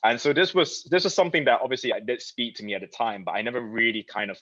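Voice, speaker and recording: A man's voice: medium pitch, fast, a bit bright, no echo, lo-fi sound. A young man from Malaysia, founder of a design studio, giving a loose, chatty talk with lots of fillers and restarts. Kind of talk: casual presentation talk.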